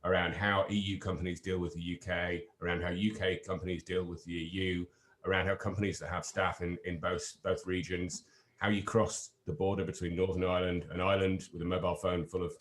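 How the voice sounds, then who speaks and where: A man leading an online panel discussion, explaining things in a measured way with the odd filler. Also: deep voice, little pitch movement. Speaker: panel lead presenter.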